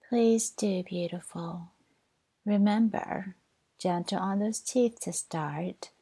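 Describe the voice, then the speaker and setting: A woman performing an intimate audio roleplay, speaking softly and tenderly, often in a whisper. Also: lowish voice, gravelly, slightly rough. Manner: soft, soothing, often whispered; tender, doting.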